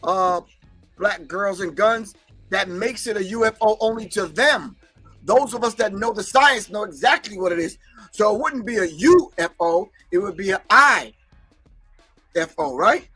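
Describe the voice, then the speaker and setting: A man with a high, bright voice hosts a casual livestream, speaking in an emphatic, preachy way and talking back to the chat. English is not his first language. Faint music plays behind him. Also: lively intonation, measured pace, breathy edge. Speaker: host.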